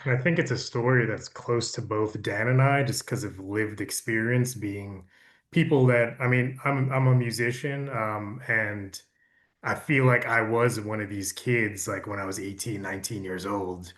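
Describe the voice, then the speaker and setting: A man's voice: lowish voice, steady tone, normal speed, a bit bright. A man speaking as a producer and musician, reflecting casually and personally in a conversation.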